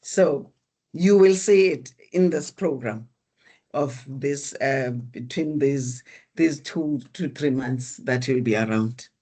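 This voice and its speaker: A course facilitator, giving slow, encouraging feedback to participants over a video call. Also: mid-range voice, warm tone. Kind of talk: relaxed class feedback.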